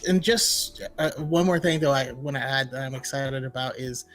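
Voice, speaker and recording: A man co-hosting a casual gaming chat, talking in an excitable, rambling way. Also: mid-range voice, moderate pace. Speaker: podcast co-host. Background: music.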